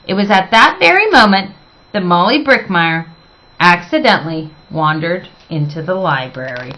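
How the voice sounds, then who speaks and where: A woman reading a children's storybook aloud as expressive narration, with dramatic, emphatic phrasing. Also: lowish voice, moderate pace, highs cut off.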